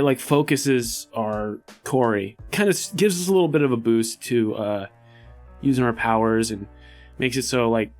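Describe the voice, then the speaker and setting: A man playing a tabletop RPG, improvising casually in character in a hesitant, rambling way. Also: medium pitch. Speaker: player in a tabletop RPG podcast.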